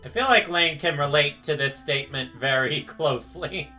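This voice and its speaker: A woman's voice: low-pitched, moderate pace, pushed and bright, faint music behind. A woman giving casual, playful reaction commentary on a game, with dry asides.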